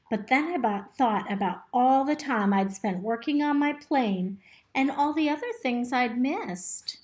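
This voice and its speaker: Woman reading a kids picture book out loud, kinda high voice, slow n expressive, echoey room. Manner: expressive, instructive, speaking simply to children. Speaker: storybook reader. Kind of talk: reading a picture book aloud to young children, pausing to ask questions. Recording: roomy, echoey indoor recording.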